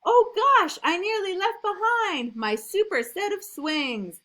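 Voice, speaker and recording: A woman reading a rhyming storybook as an eager, imaginative little boy, in an emphatic sing-song with drawn-out line endings. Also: extremely high pitch, expressive, bright, forceful tone, pitched above the performer's own voice, full character voice. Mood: eager, excited. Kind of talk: rhyming storybook read aloud.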